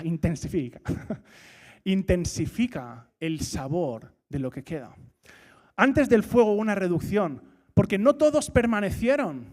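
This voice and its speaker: High-voiced man preaching. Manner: lively, rhetorical questions, repeats key points. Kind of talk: sermon. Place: church.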